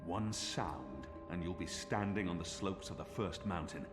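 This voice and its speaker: Deep terse ex-apostle, cool and guarded, echoey game audio, music under. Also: male voice, unhurried. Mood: threatening. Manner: terse, calm.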